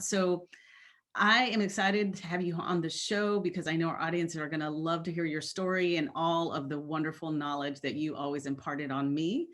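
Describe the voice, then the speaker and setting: A woman with a lowish voice hosting a podcast. She sounds enthusiastic and welcoming, with a few fillers as she sets up her questions. She records remotely over a call. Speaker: podcast host. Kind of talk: podcast interview.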